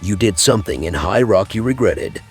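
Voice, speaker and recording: A man roleplays a haughty elven agent in a fantasy game. The voice is low but moves animatedly, with curt, probing phrasing. Faint music plays behind it. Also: lively intonation, normal speed, lightly acted. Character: haughty male Thalmor elf agent, disdainful of Imperials. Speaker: player narrating a roleplay playthrough.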